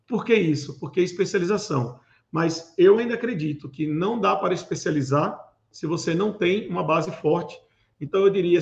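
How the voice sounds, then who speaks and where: A man in his forties from Pernambuco, Brazil, a guest speaking in a casual interview, giving thoughtful advice with many fillers and pauses. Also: high voice, vocal fry. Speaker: guest, security event organizer. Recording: roomy, dull lo-fi sound.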